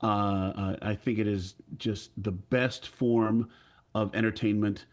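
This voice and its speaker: A man introducing himself on a panel with deliberate, declarative storytelling. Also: lowish voice, flat delivery. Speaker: audio drama producer, panelist. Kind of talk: self-introduction with personal storytelling.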